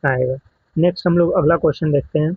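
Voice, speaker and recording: A man with an Indian accent and a slightly high, husky voice explains exam questions step by step as a coaching teacher. He slips English technical terms into his explanations. The recording is dry and somewhat dull. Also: quick pace, dry recording. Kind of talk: exam question walkthrough lesson.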